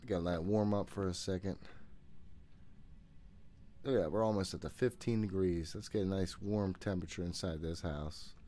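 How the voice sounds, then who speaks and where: Low-voiced man, jittery gaming chatter. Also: normal speed, long pauses, mellow. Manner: jittery self-talk with frequent swearing. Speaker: gaming streamer. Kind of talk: casual live horror game commentary. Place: small room at home, indoors.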